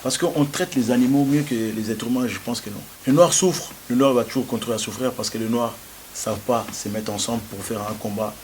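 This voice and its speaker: A man's voice: medium pitch, darkish tone, slight background noise. A young man born in France, answering interview questions with frequent hesitations and restarts. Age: young adult. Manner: halting, many hesitations and restarts.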